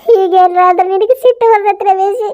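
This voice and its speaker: A woman's voice: very high voice, steady tone, fairly quick, narrow, tinny audio. A woman playing a self-pitying aging mother in a family drama, lamenting tearfully with a North Karnataka accent. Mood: wounded, accusing. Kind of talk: melodramatic family drama acting.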